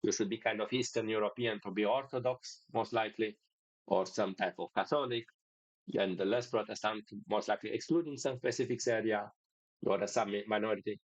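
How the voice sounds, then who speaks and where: A man's voice: fairly low pitch, fairly even intonation, a little fast, no room sound, highs cut off. A man from Romania with a Romanian accent, hosting a casual monologue in English with many fillers and restarts. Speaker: podcast host.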